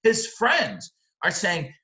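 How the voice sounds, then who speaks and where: Bright-voiced middle-aged man lecturing, roomy sound. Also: high-pitched, very animated, takes it slowly. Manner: emphatic, uses rhetorical questions and check-in tags. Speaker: literature teacher. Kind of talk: explanatory video lecture. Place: indoor room, close to a webcam.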